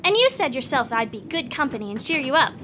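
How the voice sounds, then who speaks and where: A woman's voice: sits high, sing-song, quick pace, slight background noise. A woman playing a plucky, polite young farm girl from Kansas in a radio drama. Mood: cheerful, confident. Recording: loud storm and sea effects behind. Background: storm at sea.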